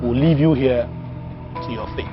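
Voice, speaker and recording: A man's voice: medium pitch, normal speed, close to an everyday voice. A man playing a domineering gang leader in a film drama, with a Nigerian accent and an emphatic, commanding manner. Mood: menacing, smug. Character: domineering male gang leader sparing his captive. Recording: muffled film audio with faint music.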